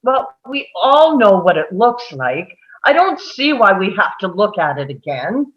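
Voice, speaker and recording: Brusque, sarcastic, domineering juror in a drama read, played by a woman; animated pitch, unhurried pace. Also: muffled recording. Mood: sarcastic, insistent. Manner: brusque, sneering. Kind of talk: script table read.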